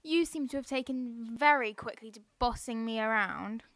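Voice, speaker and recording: Woman playing young farm girl, blunt, cheeky, high-pitched, dry close recording, radio play. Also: normal speed, a bit bright, dry recording. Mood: indignant, teasing. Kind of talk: audio play acting.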